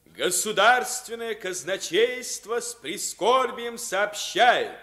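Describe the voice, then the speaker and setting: A high-voiced pompous lord treasurer. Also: male voice, bright, forceful tone, exaggerated voice. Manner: ceremonious, theatrical declaiming. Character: pompous royal lord treasurer, mournfully official. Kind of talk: 1950s radio play acting.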